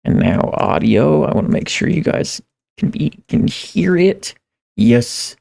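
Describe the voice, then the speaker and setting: A man livestreaming in a casual, rambling way, halting and repeating phrases as he thinks aloud. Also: a little high, sing-song, creaky, darkish tone, breathy edge. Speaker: live streamer. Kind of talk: casual livestream chat, reading viewer comments.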